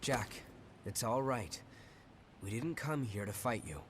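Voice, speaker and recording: An actor playing a calm, thoughtful young leader in a video game, composed and reassuring. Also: male voice, mid-range voice, slow pace, slight background noise. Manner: composed, considerate. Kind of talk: dramatic video game voice acting. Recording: loud music under the voice.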